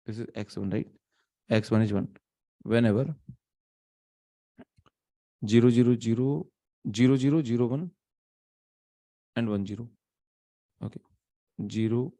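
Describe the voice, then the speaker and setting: A man's voice: medium pitch, warm tone. Indian man teaching an online lecture. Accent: Indian English, mixing in Hindi. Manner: thinking aloud, many restarts and fillers. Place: small indoor room. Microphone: webcam setup, not close.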